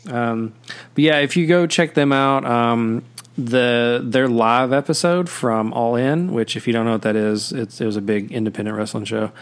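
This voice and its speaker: A man's voice: medium pitch, moderate pace, clear, resonant tone. A man hosting a podcast in casual chat, rambling with many fillers and restarts. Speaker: podcast host.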